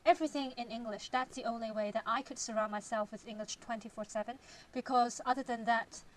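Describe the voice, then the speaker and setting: A woman's voice: on the high side, steady tone, brisk, low-quality recording. Chatty young woman with a cantonese accent. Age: young adult. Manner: thinks aloud, sometimes drops to a whisper. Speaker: vlogger and novelist. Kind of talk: casual vlog, reading aloud.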